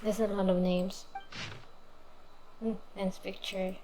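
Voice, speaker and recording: A woman's voice: mid-range voice, level tone, slow, mellow, a little hiss or hum, low-quality recording. A woman streaming a game, giving casual, sympathetic murmured reactions as she plays. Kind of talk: casual live reaction commentary over gameplay.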